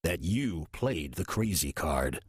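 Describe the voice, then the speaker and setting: An actor playing a wry, lazy old male demon who poses as a churchgoer in an audio drama, gravelly, husky and theatrically sardonic. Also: very deep voice, sing-song, slow pace, dry recording, studio quality, full character voice. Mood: sly, amused. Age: older.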